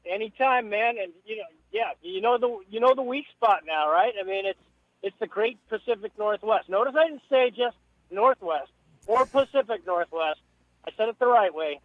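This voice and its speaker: Male phone-in radio guest, high-pitched, rambling and halting, muffled call audio from a vehicle. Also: expressive, dry recording. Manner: rambling, halting, repeats words. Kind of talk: casual interview chat. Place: moving vehicle. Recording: dull phone call from a car.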